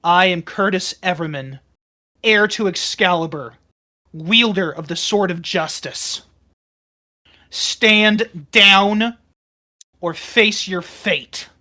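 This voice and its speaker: A woman roleplaying as a player in a tabletop game, casual and playful, with an animated, dramatic manner. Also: fairly low pitch, takes it slowly, long pauses.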